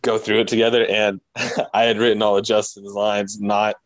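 A man's voice: lowish voice, flat delivery, normal speed, no room sound. A man, a podcast creator, chatting casually about his show. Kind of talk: casual chat.

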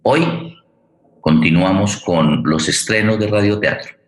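A man, speaking formally and haltingly as he welcomes listeners and introduces an online radio theatre programme. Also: lowish voice. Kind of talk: spoken introduction to a live online forum. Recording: roomy, echoing sound.

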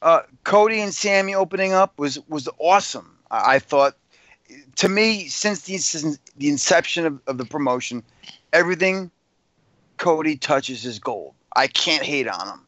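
A man's voice: on the high side, animated, normal speed, a bit bright, no echo. A man co-hosting a podcast, giving blunt, opinionated takes with casual swearing. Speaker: podcast co-host. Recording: dull lo-fi podcast audio.

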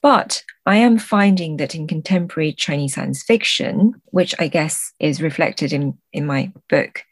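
Low-voiced woman explaining thoughtfully. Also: breathy edge, no room sound. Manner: measured, articulate, explanatory. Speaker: guest translator. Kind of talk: thoughtful interview discussion.

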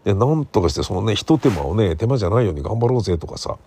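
Low, gravelly man talking casually. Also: lively intonation, rapid-fire, a little hiss or hum. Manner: rambling, conversational, seeking agreement with soft sentence endings. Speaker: podcast host and radio drama writer. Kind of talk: casual solo podcast monologue. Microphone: smartphone recording.

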